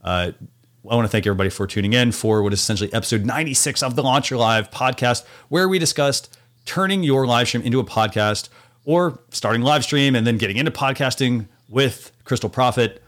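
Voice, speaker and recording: Male podcast host, relaxed advice-giver, mid-range voice. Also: mid-range voice, a little fast. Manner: casual, filler-heavy, advice-giving. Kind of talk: casual podcast chat.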